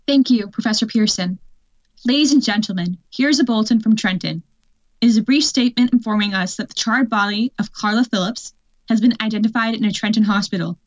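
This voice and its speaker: A woman's voice: mid-range voice, fairly quick, lightly acted. Woman playing radio drama newsreader, matter-of-fact, mid voice, dull lo-fi audio. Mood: formal, sober. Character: composed female radio newsreader reading emergency bulletins. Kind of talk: radio play news bulletin. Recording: dull, band-limited sound.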